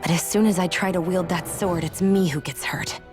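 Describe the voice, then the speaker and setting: A woman's voice: on the low side, steady tone, normal speed, close to an everyday voice. An actress playing a proud, driven young warrior woman, curt and guarded, in a video game cutscene. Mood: guarded, defiant. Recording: music behind.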